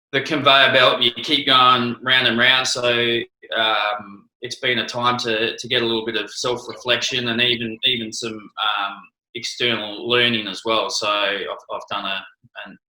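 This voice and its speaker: Aussie guy in an interview, real bright sound, keeps restarting his sentences. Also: medium pitch, roomy sound, rough recording. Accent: Australian. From Australia. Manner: hesitant, many restarts and ums. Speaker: interview guest, former cricketer. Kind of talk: casual interview answers.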